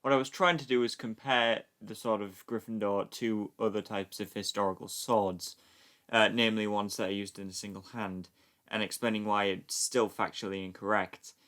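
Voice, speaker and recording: A man's voice: lowish voice, steady tone, moderate pace, fairly bright tone. A man giving casual, joking commentary on a film in a mock-pedantic, analytical way. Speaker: fan dub commentator.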